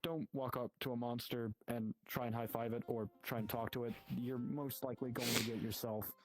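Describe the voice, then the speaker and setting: A man's voice: mid-range voice, steady tone, brisk, poor audio. A man improvising an in-character guild orientation, explaining politely and wordily. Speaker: roleplayer as hunter guild guide. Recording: music behind the voice. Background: music.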